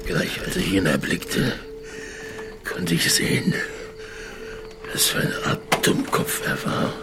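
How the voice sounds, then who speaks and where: A vicious rogue on his deathbed in a radio play. His gravelly voice drags slowly through feverish curses, gasping and sneering. Music plays underneath. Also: sits low, huge pitch swings, exaggerated voice. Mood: bitter, gloating. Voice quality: gravelly, breathy gasping delivery. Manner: halting, cursing, contemptuous. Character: bitter dying villain, sneering vicious rogue adventurer.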